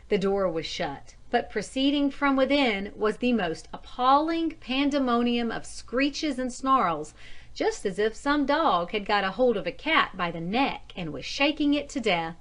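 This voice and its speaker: Woman reading an old ghost story diary, kinda high voice, audiobook style. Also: a bit bright. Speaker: audiobook narrator. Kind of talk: scripted narration of a ghost story told as a diary.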